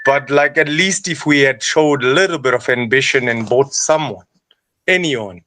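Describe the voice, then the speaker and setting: Soft-spoken man from Zimbabwe. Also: mid-range voice, normal speed, background noise. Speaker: guest. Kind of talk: casual football chat on a live call.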